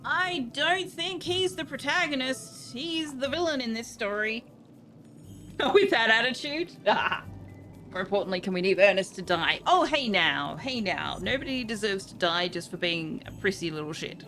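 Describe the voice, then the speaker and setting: A woman's voice: a little high, animated, unhurried. An Australian woman streaming a game, reading item text aloud and chatting in a dry, sarcastic way. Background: game music.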